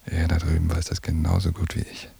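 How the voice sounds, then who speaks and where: Gravelly, deep-voiced paranoid brooder. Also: male voice, completely flat delivery, full character voice. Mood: paranoid, hushed. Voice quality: gravelly, breathy, half-whispered. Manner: brooding, deliberate, hushed. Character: paranoid surveillance man, brooding and obsessive. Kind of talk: radio drama interior monologue.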